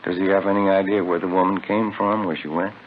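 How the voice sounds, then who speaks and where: A businesslike policeman, played by a man, speaks low and quickly in old radio drama style. The sound is very thin and tinny. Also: little pitch movement, slight background noise, natural voice. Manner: brisk, businesslike. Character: businesslike, polite police detective, the hero's partner. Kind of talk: 1950s radio drama acting.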